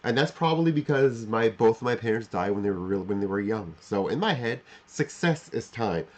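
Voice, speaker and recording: A man's voice: lowish voice, animated, moderate pace. A man in his forties hosting a livestream, talking off the cuff and reflectively, often repeating and rephrasing himself with emphasis. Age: 40s. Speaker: livestream host. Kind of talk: unscripted reflective monologue to live chat.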